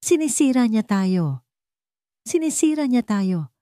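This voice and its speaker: A woman's voice: fairly high pitch, sing-song, slow, mellow, dry recording, clean studio sound, pitched above the performer's own voice. Woman acting a desperate, pleading wife. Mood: shocked pleading. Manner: pleading, emotional. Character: desperate, pleading wife, wrongly accused, tearful.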